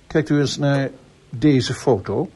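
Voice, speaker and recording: A courteous male police inspector from a radio play. His mid-range voice is slightly husky. He questions people politely and persistently, in measured, formal phrases. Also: medium pitch, dark tone. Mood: formal, composed. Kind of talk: radio drama acting.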